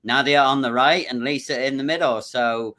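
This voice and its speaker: A man hosts a casual livestream over a video call. His voice is bright and forward, and he jokes and chuckles as he drifts between thoughts. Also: medium pitch, steady tone, moderate pace. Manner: jokey, chuckles often, rambling. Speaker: livestream host.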